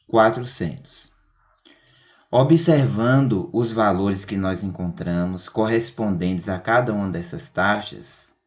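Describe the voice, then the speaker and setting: A man with a fairly low voice gives an instructional lesson as a math teacher. He speaks very slowly and methodically, setting out each step in repeated, orderly phrasing. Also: a little mellow. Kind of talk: instructional video lesson explanation.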